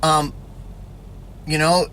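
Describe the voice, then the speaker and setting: Male preacher, loose conversational sermon, bright voice, faint background hum. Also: a little high, level tone, very slow, low-quality recording. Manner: rambling, repeats "all right?" and "you know". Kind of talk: casual spoken sermon to camera.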